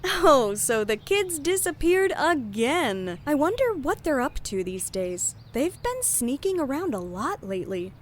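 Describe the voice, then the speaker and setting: Decisive policewoman and mom, played by a woman, fairly high, animated, probing, kids' fiction podcast, faint noise. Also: a little slow, hardly any pauses. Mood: determined. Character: inquisitive, decisive police chief mother. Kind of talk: children's audio drama acting.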